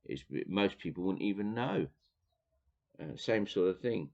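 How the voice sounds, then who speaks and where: Dutch-accented man, very low voice, halting reader-commentator on a muffled recording. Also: lively intonation, creaky, darkish tone. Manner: thoughtful, halting, many fillers and restarts. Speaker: teacher reading and commenting on a theology book. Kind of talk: reading aloud with commentary.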